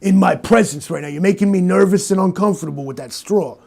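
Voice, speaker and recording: A man voicing a gruff, salty, seasoned detective with a gritty voice and a streetwise, badgering swagger, in a comedy parody. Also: high voice, fast talker, a little mellow. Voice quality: gritty, creaky.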